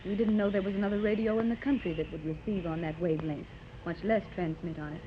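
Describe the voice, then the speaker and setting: A woman's voice: fairly low pitch, fast, close to an everyday voice. Woman playing an earnest wealthy lady, muffled recording. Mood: anxious, hopeful. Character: wealthy, anxious, gracious mother seeking lost daughter. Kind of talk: 1930s radio serial acting. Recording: dull, noisy 1930s radio recording.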